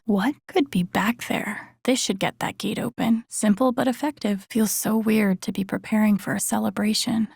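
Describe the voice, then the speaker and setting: A woman's voice: medium pitch, gravelly, a little mellow, breathy edge, no echo, studio quality. A woman voicing an introspective, wry and empathetic young woman in a video game, casually muttering her thoughts to herself in a naturalistic way. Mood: concerned, subdued, then wry, musing. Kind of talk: naturalistic game character inner monologue.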